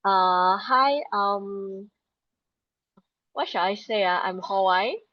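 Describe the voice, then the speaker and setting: A woman's voice: medium pitch, slow, muffled recording. A woman, hesitantly introducing herself to her class. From Kuala Lumpur, Malaysia. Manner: hesitant, unsure what to say. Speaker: master's student.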